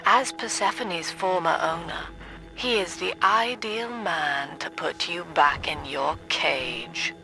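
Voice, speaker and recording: An actress playing a cold, zealous middle-aged cult-leader mother in a video game, with a British accent and measured, preachy formal diction. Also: fairly low pitch, animated, over music. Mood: cold menace. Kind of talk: video game villain monologue.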